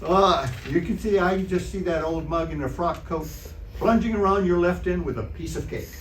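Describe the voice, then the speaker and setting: A man's voice: high-pitched, normal speed, natural voice. A man playing a folksy, blustering, gullible husband in an old-time radio comedy, stammering and colloquial, performed on stage. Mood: jovial, teasing. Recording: distant, echoey, very noisy stage recording.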